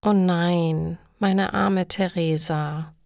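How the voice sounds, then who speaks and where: A woman voicing a caring, calm young female best friend in a story, gentle and consoling. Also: fairly low pitch, extremely slow, creaky, clear, resonant tone, dry recording, studio quality, heavily acted. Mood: concerned sympathy.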